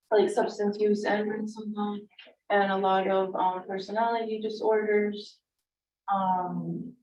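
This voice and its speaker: A woman's voice: mid-range voice, darkish tone, slight background noise. A Cuban-born woman whose first language is Spanish, introducing herself casually at a public board meeting, with many fillers. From Cuba. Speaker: board member candidate. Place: meeting room. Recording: echoey room, distant mic, dull sound.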